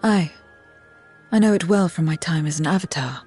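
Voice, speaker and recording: A man acting an aloof, terse wanderer in a video game cutscene, with a husky voice. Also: fairly high pitch, moderate pace, a little mellow, faint music behind. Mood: reserved, willing.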